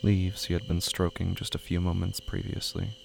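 A man narrating scripted horror slowly, in a hushed, deliberate, ominous manner. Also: sits low, little pitch movement, vocal fry, a little hiss or hum. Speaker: horror fiction podcast narrator.

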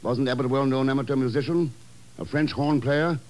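An actor playing a cool, probing secret agent in an old radio drama, speaking in a measured, understated way. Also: male voice, medium pitch, darkish tone, close to an everyday voice. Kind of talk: 1950s radio drama acting. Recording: slightly noisy 1950s radio recording.

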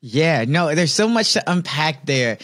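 A man's voice: on the high side, big pitch swings, a little slow, a bit bright, no room sound, studio quality. A man hosting an interview podcast, speaking in an enthusiastic, motivational and complimentary way as he sets up questions. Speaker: podcast host.

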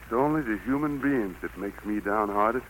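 A man playing the Lord as a gentle but stern, folksy preacher-like figure with a Southern US accent, in 1940s radio drama style. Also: fairly low pitch, normal speed, a little hiss or hum, lightly acted, rough recording. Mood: wistful, gentle. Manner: folksy, admonishing. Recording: vintage 1940s radio broadcast.

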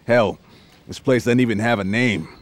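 A man's voice: mid-range voice, normal speed, close to an everyday voice. An actor playing a brusque male police captain giving curt orders in a radio drama.

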